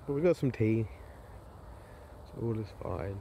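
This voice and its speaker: A man's voice: lowish voice, lively intonation, measured pace, lo-fi sound. A man chatting casually and in an understated way, as an angler presenting a fishing vlog. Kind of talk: casual chat to camera. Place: outdoors on a lakeside bank. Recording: outdoor camera audio, clear background noise. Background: outdoor ambient noise.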